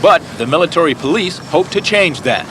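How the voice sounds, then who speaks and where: A man's voice: a little high, expressive, fairly quick, background noise. A man narrating a scripted news report as a reporter.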